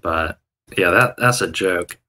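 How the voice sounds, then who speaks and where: Bright-voiced rambling male streamer. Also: sits low, takes it slowly. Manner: rambling, chatty, frequent restarts and fillers. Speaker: day trading live streamer. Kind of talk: casual live stream chat. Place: home room, indoors. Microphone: headset.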